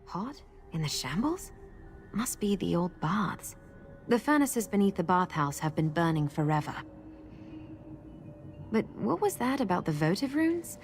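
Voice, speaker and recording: A woman playing a guarded, determined guide in a fantasy video game, speaking in a measured, resolute manner. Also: mid-range voice, sing-song, faint music behind. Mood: wary, informative.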